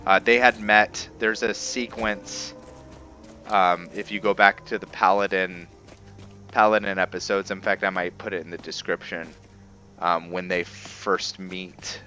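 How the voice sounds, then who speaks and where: A man giving casual commentary on a roleplay game, halting and musing as he thinks aloud. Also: deep voice, steady tone, sharp tone. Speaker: host of a game roleplay series. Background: background music.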